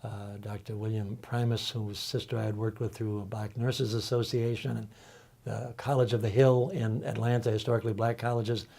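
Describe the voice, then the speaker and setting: Older man, warm, halting reflective talk. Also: lowish voice, little pitch movement, gravelly. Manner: thoughtful, halting, many ums and uhs. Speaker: panel guest, college faculty member. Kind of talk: reflective panel discussion.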